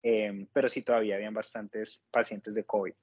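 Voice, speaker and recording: A man in his thirties with a Colombian accent, politely recounting his experience as an interview guest in a measured, explanatory way. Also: on the low side, level tone, a little mellow, dry recording, highs cut off. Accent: Colombian Spanish. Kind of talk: conversational personal account.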